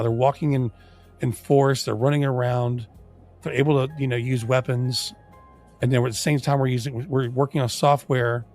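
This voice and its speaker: A man's voice: medium pitch, a little mellow. A man who is losing his voice, chatting casually as a livestream co-host in a sweary, informal way, stumbling over his words.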